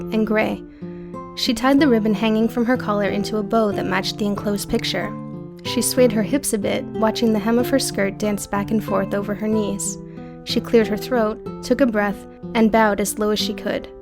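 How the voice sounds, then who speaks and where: A woman narrating scripted fiction for an audiobook. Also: medium pitch.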